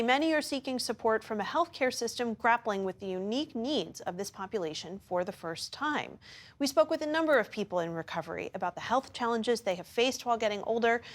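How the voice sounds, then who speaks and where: A woman news correspondent reading a scripted report and interviewing a guest in a measured, even way. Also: a little high.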